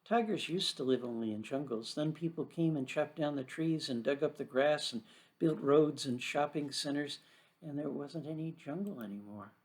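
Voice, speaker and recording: An imaginative young boy from a children's storybook, read by a man, curious and quietly sure of himself. He speaks earnestly at a slightly raised pitch, as if explaining something important. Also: normal speed, lightly acted.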